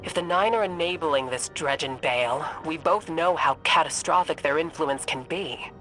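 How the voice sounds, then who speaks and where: A woman playing a serious, guarded field operative in a sci-fi game, speaking gravely and purposefully. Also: low voice, moderate pace, a bit bright, faint music behind, rough recording. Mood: grave, urgent. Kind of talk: dramatic video game dialogue.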